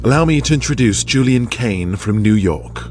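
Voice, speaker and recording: A man's voice: mid-range voice, expressive, normal speed, music in the background, voice pushed up, close to an everyday voice. Man playing a prim, formal wrestling executive. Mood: formal, composed. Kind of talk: audio drama character voice.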